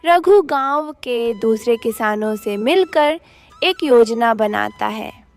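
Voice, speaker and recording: High-pitched, slow-paced woman narrator. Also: expressive, darkish tone, faint music behind. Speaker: story narrator. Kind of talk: scripted narration of children's cartoon moral story.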